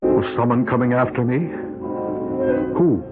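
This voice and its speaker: Actor as insistent pilot on tinny old radio. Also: male voice, medium pitch, close to an everyday voice. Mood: tense, brooding. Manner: measured suspenseful delivery, probing questions. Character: stranded male pilot guest, alarmed, insistent, level-headed. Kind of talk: old-time radio drama acting, first-person narration and dialogue. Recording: tinny old radio broadcast, loud music.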